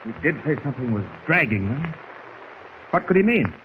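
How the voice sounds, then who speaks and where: An actor playing a brisk, anxious submarine commander in a 1940s radio serial, giving clipped, authoritative orders. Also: male voice, a little high, very animated, phone-quality sound. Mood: concerned, determined. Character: brisk, anxious middle-aged male navy submarine commander. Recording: noisy vintage 1940s radio recording.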